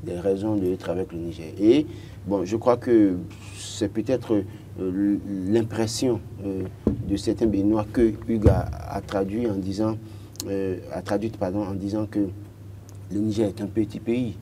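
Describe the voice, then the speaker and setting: A man's voice: on the low side, fairly even intonation, unhurried, warm tone, slight background noise. A man in his thirties from Benin with a Beninese French accent, arguing as a political commentator on a TV panel and often stuttering on repeated words.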